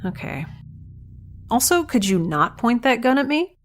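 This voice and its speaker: A woman's voice: on the high side, very animated, a little slow, a little hiss or hum. A woman playing a guarded, wry young scientist in a science fiction audio drama, speaking in a casual way. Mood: wary, defiant.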